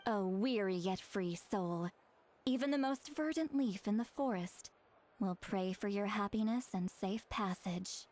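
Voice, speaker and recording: A woman's voice: mid-range voice, a little slow, a little mellow, lightly acted. Actress playing a solemn young shaman woman. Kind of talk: scripted video game voice acting.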